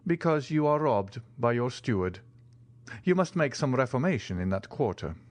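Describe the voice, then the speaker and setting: A measured, composed count, polite and in control, with a lively lift in his phrasing. A man reads him in a storybook. The sound is dry and close. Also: mid-range voice, dry recording, pitched above the performer's own voice, lightly acted. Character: cool, composed wealthy count.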